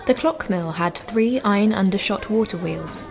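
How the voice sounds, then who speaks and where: A woman's voice: medium pitch, normal speed, gravelly. A woman giving scripted informational narration as a guide. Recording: noisy ambience with crowd sounds.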